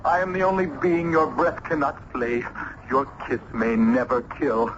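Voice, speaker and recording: An actor playing a passionate, tormented young student lover in melodramatic old-time radio style. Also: male voice, mid-range voice, fairly even intonation, normal speed, close to an everyday voice. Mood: bitter, accusing. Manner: melodramatic, intense. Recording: noisy, muffled old radio broadcast.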